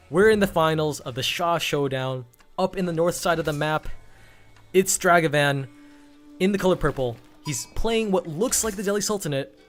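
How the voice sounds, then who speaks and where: Hoarse young man hosting a game stream. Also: on the high side. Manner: upbeat, hype announcer intros with frequent fillers. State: losing his voice. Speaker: tournament host and caster. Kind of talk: live game commentary on a stream.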